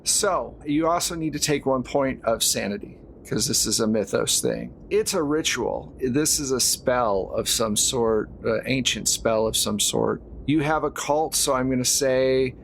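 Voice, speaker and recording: A man's voice: medium pitch, animated, a little slow, few pauses. Guy running a spooky tabletop rpg, narrates casually w/ little asides, noisy background. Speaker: game master. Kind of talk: narrating a horror tabletop roleplaying game. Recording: clear background noise.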